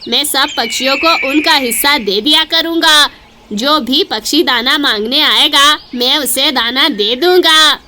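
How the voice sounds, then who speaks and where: A high, sharp-voiced male cartoon crow, acted out by a woman, speaks with emphatic insistence. Faint noise sits behind the voice. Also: measured pace. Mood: stubborn, suspicious. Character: stubborn, distrustful male crow, later remorseful.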